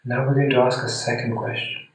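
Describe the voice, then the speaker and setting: A man guiding a meditation in a soothing, deliberate manner with long pauses, speaking gently to the listener. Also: mid-range voice, level tone. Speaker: meditation guide. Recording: very echoey room, distant mic.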